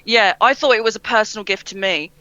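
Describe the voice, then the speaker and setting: A woman's voice: mid-range voice, fast, sharp tone, clear, resonant tone, no room sound, lo-fi sound. A woman co-hosting an interview in casual chat, speaking as a gushing, enthusiastic fan. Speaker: podcast co-interviewer.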